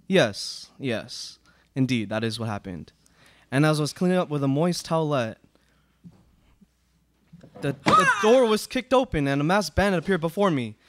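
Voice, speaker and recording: A man's voice: fairly high pitch, big pitch swings, normal speed, pauses often. A young man playing a prim, pompous, easily frightened aristocrat in a student radio play, speaking formally. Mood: pompous, flustered. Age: young adult. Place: small indoor studio. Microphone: close stand microphone.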